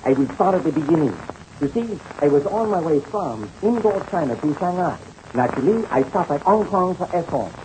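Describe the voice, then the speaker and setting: A male actor playing a courteous aviator, formal and deliberate, in a 1930s radio drama. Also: a little high, lively intonation, close to an everyday voice. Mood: earnest, courteous. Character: courteous French aviator telling his tale of misadventure. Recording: noisy old radio transcription.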